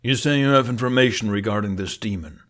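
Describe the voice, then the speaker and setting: A man's voice: on the low side, fast talker, fairly bright tone, natural voice. A man voicing a stern middle-aged Viking father and chieftain in an audiobook, blunt and pressing. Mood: stern, probing. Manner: direct, pressing.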